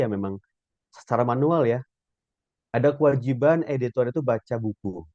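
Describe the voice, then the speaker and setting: Low, mellow man, muffled and echoey. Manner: halting, repeats phrases for emphasis. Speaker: guest speaker, writing and publishing professional. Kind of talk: webinar question-and-answer, explanatory. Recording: muffled, echoey online-call audio.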